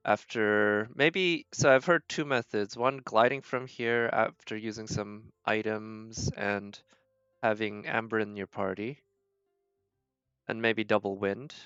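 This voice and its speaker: A man's voice: lowish voice, a bit bright, clean tone, no room sound, muffled recording. A man giving casual, rambling gameplay commentary as a streamer, thinking aloud as he plays. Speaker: game streamer.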